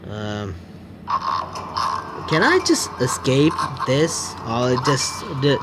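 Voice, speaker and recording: A man hosting a gaming video, reading game text aloud and commenting on it in a jittery, excitable way. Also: medium pitch, extremely slow, heavy background noise. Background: game music.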